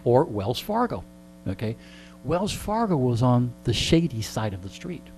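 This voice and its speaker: A man's voice: mid-range voice, big pitch swings, unhurried, darkish tone, low-quality recording. A middle-aged or older man telling casual anecdotes in a wry, joking, digressive way. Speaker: interview guest and storyteller. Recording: clear background mains hum.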